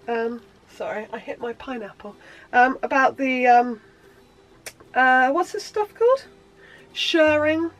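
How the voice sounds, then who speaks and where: A woman's voice: a little high, a bit bright, low-quality recording. A woman sewing vlogger with a blocked nose from a cold, chatting in a bubbly, rambling way with lots of ums. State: congested from a cold. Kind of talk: casual chatty show-and-tell.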